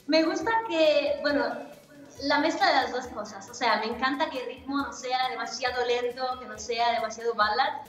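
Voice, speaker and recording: Brief: woman in her 30s, bright-ish voice, roomy call audio. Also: high voice, normal speed, faint music behind. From Italy. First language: Italian. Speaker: guest singer. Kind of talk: video call interview. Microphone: laptop video call.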